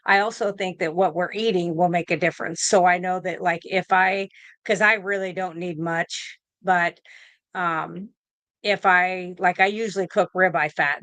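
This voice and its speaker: A woman's voice: on the low side, fairly bright tone, no room sound. A woman in her fifties, a nurse answering questions in a casual video-call interview, rambling with fillers and restarts. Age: 50s. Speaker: guest nurse.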